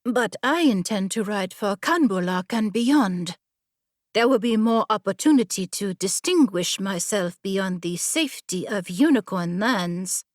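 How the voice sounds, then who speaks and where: A female narrator acts out a haughty young noblewoman from an audiobook adventure. She is proud and formal, with an imperious, clipped delivery at a middle female pitch, recorded very dry. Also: lively intonation, unhurried, no echo, natural voice. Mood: proud, determined. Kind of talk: audiobook character dialogue.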